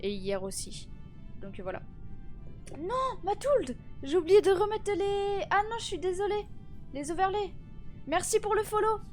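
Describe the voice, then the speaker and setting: Tired, high-voiced teenage girl streaming. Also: very animated, measured pace, darkish tone, faint music behind. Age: teens. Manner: chatty, greets viewers, many fillers and restarts. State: tired and stuffy from a cold. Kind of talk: casual live-stream chat.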